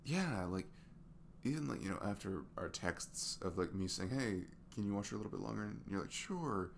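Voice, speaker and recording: A man playing a sweet, earnest single dad in an intimate ASMR roleplay, soft and hesitant, often dropping to a whisper. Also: fairly low pitch, animated, moderate pace, gravelly, slight background noise. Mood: tender, grateful. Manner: hesitant, gentle, murmured.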